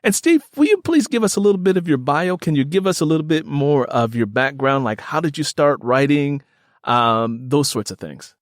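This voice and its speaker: A man's voice: fairly high pitch, lively intonation, normal speed, no echo, clean studio sound. A man hosting a podcast interview in an enthusiastic, conversational way, emphatic and given to repeating words for effect.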